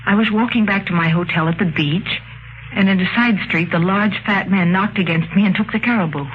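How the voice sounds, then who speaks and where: Actress for a poised young woman, breezy yet pleading, theatrical, medium pitch, vintage radio drama with muffled noisy sound. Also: a little fast, close to an everyday voice. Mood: earnest recounting. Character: poised, coaxing young American woman seeking help. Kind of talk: 1950s radio drama acting.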